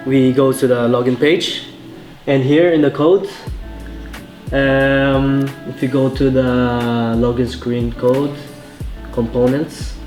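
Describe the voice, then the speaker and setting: A man in his twenties walking through an app demo in a plain, halting way. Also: mid-range voice, darkish tone, reverberant. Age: 20s. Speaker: student presenter.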